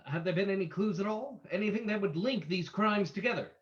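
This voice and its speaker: A performer playing a calm, suave male detective, formal and probing, in a radio drama reading. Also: medium pitch, lightly acted. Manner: formal, measured questioning. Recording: dull lo-fi sound.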